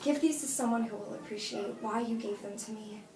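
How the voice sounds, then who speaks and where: A woman's voice: medium pitch, brisk, close to an everyday voice. An actress playing a blunt, exasperated woman turning down a friend, speaking emphatically in a naturalistic drama read-through. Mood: apologetic, firm. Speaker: actress at a script table read. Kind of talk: naturalistic film drama dialogue read aloud. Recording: roomy echo, distant mic, faint noise.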